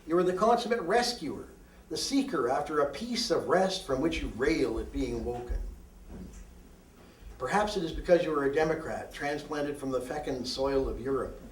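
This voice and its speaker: A man reading his own poetry aloud in a deliberate, deadpan, declamatory way with emphatic phrasing. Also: on the high side, slight background noise. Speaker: poet reading own work. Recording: roomy echo, distant microphone.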